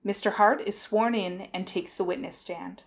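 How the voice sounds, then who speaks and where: A woman narrating a trial drama in a formal, deliberate, scripted announcing style. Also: medium pitch, fairly even intonation, gravelly.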